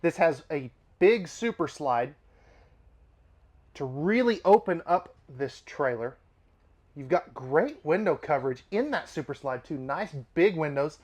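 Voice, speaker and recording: A man's voice: on the high side, lively intonation, unhurried, darkish tone. A man presenting an RV dealership walkthrough from inside a travel trailer, upbeat and chatty with casual asides, his voice slightly nasal from allergies. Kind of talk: product walkthrough tour.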